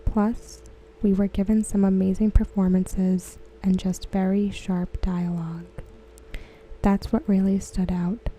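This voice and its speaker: A woman reading aloud in a soft, breathy, near-whispered ASMR style, gentle and measured, close to the microphone. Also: medium pitch, little pitch movement, rough recording. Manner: gentle, hushed, measured delivery. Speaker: ASMR host. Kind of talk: softly spoken ASMR read-aloud of forum comments.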